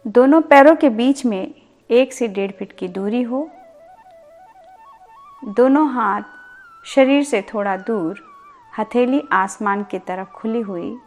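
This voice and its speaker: Woman doing guided yoga sleep meditation, really slow, voice a bit high, soft music behind her. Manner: calm, soothing, deliberate instruction with pauses and repeated words. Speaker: yoga nidra meditation instructor.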